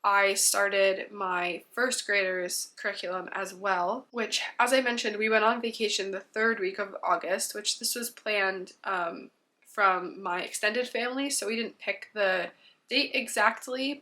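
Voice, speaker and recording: A woman's voice: mid-range voice, fairly even intonation, unhurried, sharp tone. A woman in her thirties, congested from a cold, chatting casually to camera as a homeschooling mom, rambling with frequent ums.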